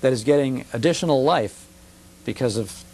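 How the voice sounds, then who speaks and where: A man's voice: mid-range voice, normal speed, a little mellow. An American man in his fifties speaks as an interview guest in fluent, nostalgic storytelling, using short emphatic phrases and rhetorical questions. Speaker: guest, old-time radio broadcaster and enthusiast. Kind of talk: nostalgic conversational interview talk. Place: television studio. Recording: older TV broadcast with faint hiss and hum.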